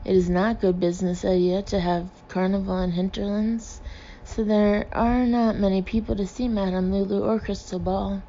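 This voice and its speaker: A woman's voice: mid-range voice, little pitch movement, moderate pace, dark tone, dull audio, slight background noise, close to an everyday voice. A woman voicing a gushing, pleading female carnival fortune-teller in a storybook, with a foreign accent and broken English. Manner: broken English with odd word order.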